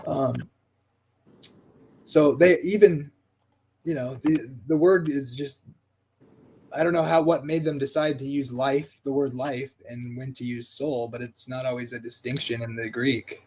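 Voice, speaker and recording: A husky-voiced man talks through a text in a relaxed discussion. He reads passages aloud, then thinks out loud with many pauses and fillers, at a middling pitch.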